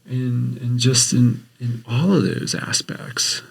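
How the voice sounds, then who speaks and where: A man, a geography professor, talking in a podcast interview in an earnest, explanatory way, often pausing to rephrase. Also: mid-range voice, vocal fry, slightly rough, rough recording. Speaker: geography professor and expedition researcher.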